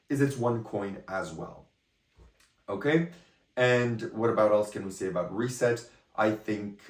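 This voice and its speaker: A man with a hoarse, partly lost voice, giving a chatty, rambling review of a magic trick and often checking in with the viewer. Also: lowish voice. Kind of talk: casual product review to camera. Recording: echoey room, a bit far from the mic.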